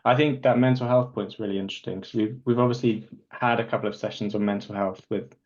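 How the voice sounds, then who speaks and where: A man with a lowish voice moderating a group discussion, encouraging and brief in his thanks. The sound is somewhat dull and lo-fi. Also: fairly even intonation, fast, darkish tone. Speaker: host. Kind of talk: moderating a forum.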